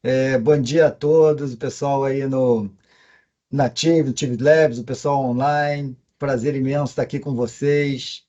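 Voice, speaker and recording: A man with a Carioca accent, a company co-founder, speaking remotely over a video call to open an event, pausing often on fillers. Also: mid-range voice, steady tone, dark tone, lo-fi sound. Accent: Carioca Portuguese. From Brazil. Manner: hesitant, with frequent fillers. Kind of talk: presentation remarks.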